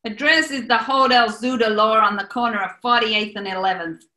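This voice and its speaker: A woman's voice: fairly high pitch, normal speed, sharp tone. An actress playing a brash, sardonic old New York landlady haggling over shabby rooms in a 1940s-style radio comedy. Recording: echoey room.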